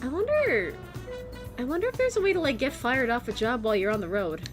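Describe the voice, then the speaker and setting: A woman's voice: fairly high pitch, sing-song, fairly quick, music in the background. An American woman with a strained, worn-out voice, chatting casually and rambling with lots of fillers while streaming a game. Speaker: vtuber streamer. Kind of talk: casual chat while gaming.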